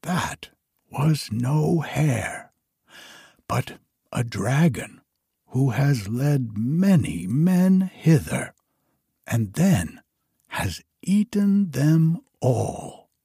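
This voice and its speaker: Storybook old woman, frail, cautious and kindly, higher and breathy, as a man plays her; slow. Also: big pitch swings, mellow, dry recording, clean studio sound, full character voice. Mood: gentle. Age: older.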